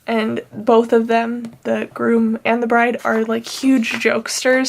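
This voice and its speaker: A young woman talks in a relaxed, rambling way, her voice slightly high with a gravelly fry at the ends of phrases. She sounds a little nasal from allergies. Faint noise and paper clicks sit under her voice. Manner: chatty, rambling with fillers. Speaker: planner vlogger. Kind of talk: casual plan-with-me chat.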